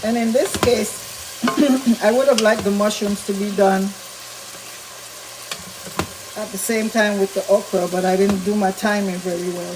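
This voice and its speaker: A woman with a slightly raspy voice talks through a recipe in her home kitchen. She is chatty and explains each step as she cooks. Frying food sizzles clearly behind her. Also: mid-range voice, background noise. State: slightly raspy from talking a lot. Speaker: vegan cooking channel host. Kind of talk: casual cooking demonstration. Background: frying and sizzling sounds.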